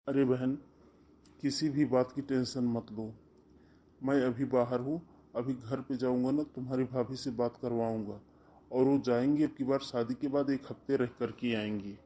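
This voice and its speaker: A kindly middle-aged brother calms his worried sister in a warm, mellow voice, played by a man. He speaks in a soothing, reassuring way, as in a cartoon family tale. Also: medium pitch, normal speed, slight background noise, natural voice. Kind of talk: cartoon voice acting.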